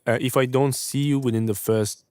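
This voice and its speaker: A man's voice: medium pitch, steady tone, normal speed, darkish tone, no echo. A young man hosting a music review livestream, giving casual mixing feedback, swearing freely and stuttering now and then. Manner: laid-back, swears casually; stutters at times.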